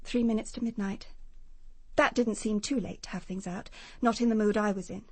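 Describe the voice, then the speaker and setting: A woman's voice: medium pitch, steady tone, normal speed, darkish tone, breathy edge, dry recording. A woman playing a wounded, anxious young woman in a radio drama, confessing in a hesitant, hurt manner. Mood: anxious, confessional. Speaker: actress in a radio detective drama.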